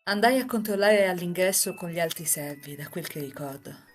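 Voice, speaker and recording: A woman reading as a composed, enigmatic housemaid who narrates a visual novel tale in formal, measured sentences. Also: on the low side, faint music behind, close to an everyday voice.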